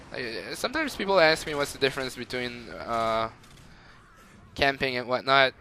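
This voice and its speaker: Bright-voiced French Canadian gamer. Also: male voice, medium pitch, a little hiss or hum. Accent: Quebec French. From Montreal, Canada. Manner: rambling and chatty, with frequent fillers and laughs. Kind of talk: gameplay commentary.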